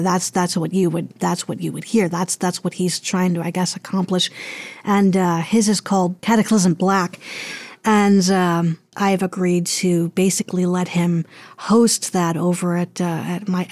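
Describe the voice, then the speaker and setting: A woman with a fairly low voice talks casually as a guest in an interview. Her delivery is hesitant and rambling as she searches for words. The sound is very dry, with no room echo. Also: darkish tone. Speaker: interview guest, audio drama producer.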